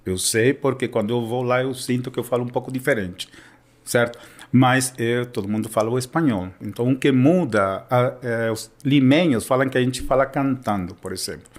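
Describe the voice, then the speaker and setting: A man's voice: medium pitch, normal speed. A Spanish teacher from Peru who speaks Portuguese with a Peruvian Spanish accent, explaining in a casual educational chat and often checking in with his listener.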